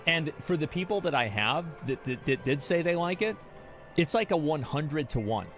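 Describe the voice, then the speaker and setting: A man's voice: a little high, expressive, moderate pace, soft background music. A young man streaming, rambling and arguing his views in casual talk. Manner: rambling, argumentative, restarts often. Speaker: streamer reacting to chat.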